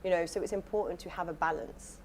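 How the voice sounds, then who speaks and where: A woman with a London accent who talks quickly in a fairly low voice and fills her points with likes and pauses. A faint hiss runs under the clip. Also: fairly even intonation, darkish tone. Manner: quick, explaining herself with many fillers. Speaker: guest in a played clip. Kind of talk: casual chat.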